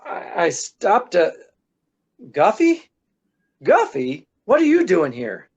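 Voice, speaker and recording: On a slightly muffled recording, a determined old-time radio gumshoe, played by a man, talks in brusque slang. He is wisecracking and commanding, with a fairly high voice. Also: huge pitch swings, slow, a little mellow, breathy edge, exaggerated voice. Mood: agitated. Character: tough, determined, wisecracking male private detective. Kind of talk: 1940s radio acting. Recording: dull, band-limited sound.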